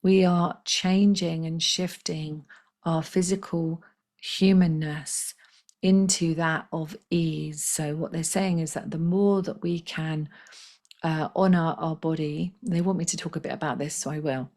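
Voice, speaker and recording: Low, slightly croaky woman healer. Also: fairly even intonation, unhurried. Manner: soft, gentle, chatty, reads out viewers' comments. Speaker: spiritual healer hosting a live session. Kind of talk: casual live-stream talk.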